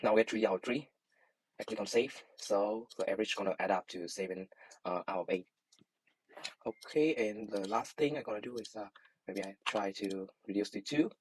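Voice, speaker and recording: A young man with a Vietnamese accent, a native Vietnamese speaker, walking through his code in a halting student demo with frequent filler sounds. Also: fairly low pitch, a little mellow, a little hiss or hum, rough recording. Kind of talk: screen-recorded code walkthrough and app demo.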